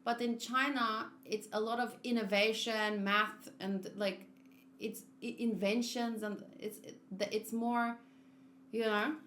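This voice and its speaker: A woman game streamer giving casual commentary, musing aloud in a halting, conversational way. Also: on the high side, steady tone, a bit bright, slight background noise. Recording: roomy sound over faint game music.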